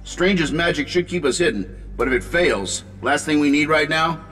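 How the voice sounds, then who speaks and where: An actor playing a cocky, flippant genius inventor superhero who teases sarcastically in a video game cutscene. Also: male voice, fairly high pitch, moderate pace, a bit bright, in a large hall, close to an everyday voice. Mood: brisk, wry.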